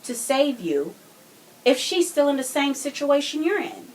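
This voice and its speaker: A woman's voice: high-pitched, very animated, a little slow, a little mellow, poor audio. Woman debating casually over background noise. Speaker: podcast co-host. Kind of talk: casual true crime discussion.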